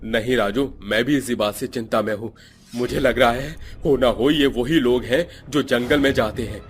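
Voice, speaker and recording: A man's voice: mid-range voice, normal speed, husky, soft background music, natural voice. A man voicing a stern middle-aged village headman in a cartoon, firm and commanding. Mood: wary.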